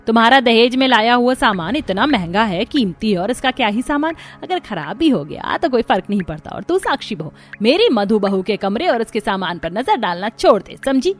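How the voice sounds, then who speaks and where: Nagging, high-pitched Indian mother-in-law. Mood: scornful. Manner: nagging, melodramatic. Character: scolding, scheming middle-aged Indian mother-in-law. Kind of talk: animated moral-story voice acting.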